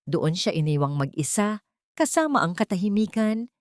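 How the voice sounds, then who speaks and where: A woman's voice: medium pitch, sing-song, normal speed, dry recording. A woman reading a scripted dramatic story as an audiobook narrator.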